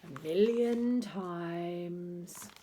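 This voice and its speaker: A woman's voice: fairly low pitch, drawn-out words, mellow, a little hiss or hum. A woman voicing long-suffering, imploring schoolboys under twelve for a children's storybook. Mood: weary.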